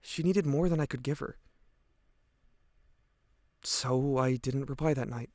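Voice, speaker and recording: A man's voice: medium pitch, a little slow, darkish tone, no room sound, natural voice. A man acting a guilt-ridden, earnest teenage boy giving hesitant, reflective testimony in an audio drama. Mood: weary, guilty. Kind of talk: audio drama monologue, police interview testimony.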